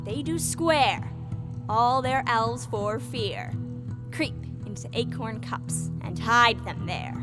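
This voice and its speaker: A woman's voice: fairly high pitch, takes it slowly. A woman playing a mischievous, boastful fairy sprite and jester, telling tales in Shakespearean verse for an audio drama. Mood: warning, storytelling. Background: music.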